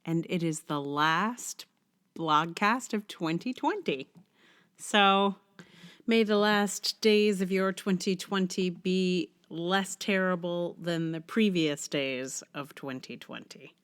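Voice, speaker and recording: A woman's voice: on the low side, slow pace, a bit bright, smooth. A middle-aged woman with a congested, cold-affected voice, hosting a podcast in a wry, conversational way. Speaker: podcast host. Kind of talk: casual intro, then reading own blog essay aloud.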